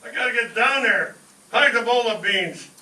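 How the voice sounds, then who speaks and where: A man playing a blustering, excitable family father with folksy bad grammar in an old-time radio comedy. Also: very high-pitched, moderate pace, exaggerated voice. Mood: alarmed. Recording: roomy, distant stage pickup with faint noise.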